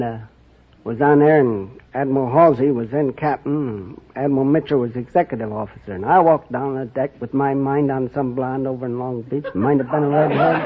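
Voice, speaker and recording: Folksy American man, muffled husky old-radio sound. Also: medium pitch. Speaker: quiz show contestant, former sailor. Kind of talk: casual storytelling. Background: studio audience.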